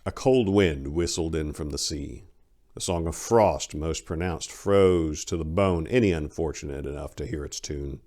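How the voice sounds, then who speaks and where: A man hosting and narrating stories in a dramatic, deliberate, theatrical scripted style. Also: extremely low pitch, warm tone. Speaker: channel host and story narrator.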